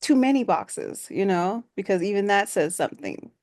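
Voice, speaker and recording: A woman hosting an interview, speaking in an unhurried, affirming way with many fillers. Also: mid-range voice, big pitch swings, a little mellow. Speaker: artist host. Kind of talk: conversational interview.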